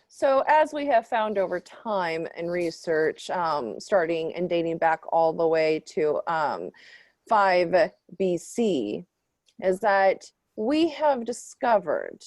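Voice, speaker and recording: A woman playing an earnest archaeologist on a mock news panel, halting and mock-scholarly. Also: fairly low pitch, a little mellow, no echo.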